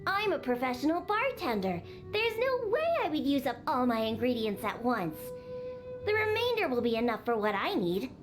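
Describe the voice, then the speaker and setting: A woman's voice: high voice, very animated, normal speed, over music. A woman voicing a bossy, proud young cat-girl bartender in a video game, sassy and scolding. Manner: sassy, boastful, matter-of-fact scolding.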